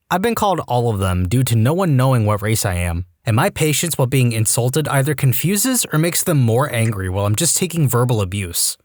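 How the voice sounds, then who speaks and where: A male narrator reads posts aloud with a wry, animated delivery and a pitch that swings up and down. His voice is middle in pitch and recorded dry and close, with no room sound. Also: no echo. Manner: lively, wry reading. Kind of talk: storytime narration.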